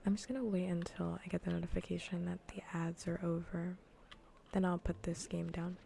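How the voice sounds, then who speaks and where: Female ASMR game streamer: soft, mellow, gravelly fry, whisper-prone, relaxed rambling delivery on a close mic. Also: on the low side, fairly quick, slight background noise. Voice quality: soft, breathy, often drifting into whispers. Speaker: livestreamer hosting a cozy gaming stream. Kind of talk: casual ASMR gaming stream chat. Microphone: close ASMR microphone.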